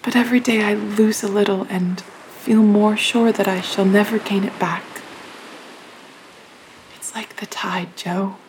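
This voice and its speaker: Over a clear background hiss, a woman acts a gravely ill young sister in a period audio play. She is soft-spoken and halting, with a middle-pitched voice. She sounds selfless and resigned, speaking with quiet earnestness. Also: steady tone, close to an everyday voice. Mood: resigned, wistful. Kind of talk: audio drama acting of a period novel.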